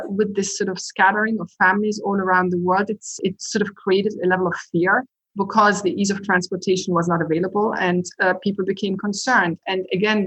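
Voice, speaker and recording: A middle-aged woman being interviewed, who talks in a measured, reflective way. Her voice sits at an ordinary middle pitch, and she weighs her words with frequent "sort of" and short pauses. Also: a bit bright, rough recording. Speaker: guest, university president.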